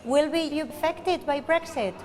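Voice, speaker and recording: High-voiced woman reporter asking questions. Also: unhurried. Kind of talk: interviewing on location.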